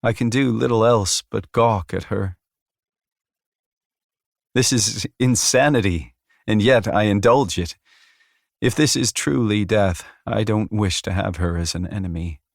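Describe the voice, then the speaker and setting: A man's voice: on the low side, a little slow, gravelly, no room sound, clean studio sound. A man reading scripted first-person fantasy fiction as an audiobook narrator.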